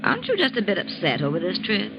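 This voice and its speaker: An actress playing a jealous, murderous wife who flies planes, in a dramatic old-time radio style on a muffled, noisy recording. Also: female voice, medium pitch, wildly swooping pitch, over loud music. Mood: cold needling. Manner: dramatic, halting when questioned. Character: bitter, jealous wife, a murderous amateur pilot.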